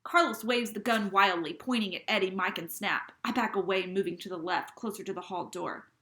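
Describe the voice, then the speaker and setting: A woman reading a first-person novel aloud, with expressive, dramatic delivery. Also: mid-range voice, a little fast, roomy sound. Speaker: reader.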